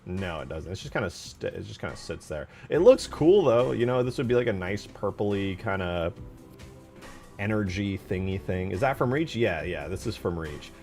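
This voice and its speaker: A man's voice: sits low, big pitch swings, normal speed, vocal fry, a little mellow, soft background music. A man giving casual, off-the-cuff commentary on a game mod, musing aloud in informal wording. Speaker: video creator presenting game mods.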